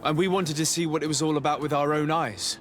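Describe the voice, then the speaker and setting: An actor playing a polite, inquisitive diplomat in a video game, with a formal and composed manner. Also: male voice, on the high side, steady tone, fast, slight background noise, natural voice. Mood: polite, curious. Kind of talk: video game dialogue acting.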